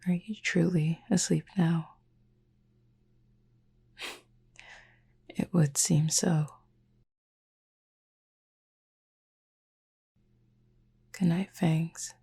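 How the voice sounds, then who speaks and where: Cupid roommate, sleep-aid roleplay, female actor: reserved and devoted, hushed breathy delivery, very even pitch, dark soft tone, measured formal phrasing. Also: full character voice. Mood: tender, hushed. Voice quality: breathy, husky, near-whisper. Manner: measured, formal wording with long pauses.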